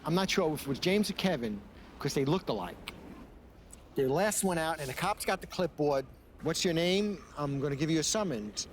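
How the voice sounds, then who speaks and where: Older man reminiscing amid loud street noise. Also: a little high, animated, moderate pace. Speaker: interviewee, surfer. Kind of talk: casual anecdotal interview. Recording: noisy outdoor ambience with vehicles.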